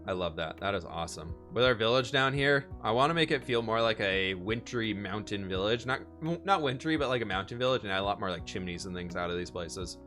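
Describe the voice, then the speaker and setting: A man streaming a building game, upbeat and chatty, his voice a little hoarse, answering questions from chat. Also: lowish voice, a little fast, hardly any pauses, bright, forceful tone, soft background music. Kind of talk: casual live-stream chat while building.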